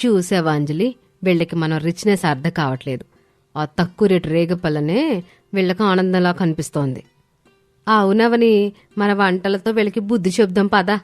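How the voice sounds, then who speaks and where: A woman plays a snobbish young daughter-in-law in a family storybook drama. She brags and whines in a lowish, dry-recorded voice with music behind. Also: normal speed, dry recording, close to an everyday voice. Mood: scheming. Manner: boastful, dismissive, complaining. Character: haughty city-bred younger daughter-in-law, boastful, resentful, whiny. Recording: studio voice over background music.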